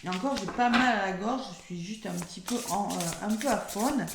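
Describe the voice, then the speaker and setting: French woman reader, hoarse and softly spoken, gravelly fry, echoey room. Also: mid-range voice, noisy background. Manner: soft-spoken, slow and measured when reading cards aloud. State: losing her voice, hoarse. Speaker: tarot card reader. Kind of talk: weekly horoscope card reading.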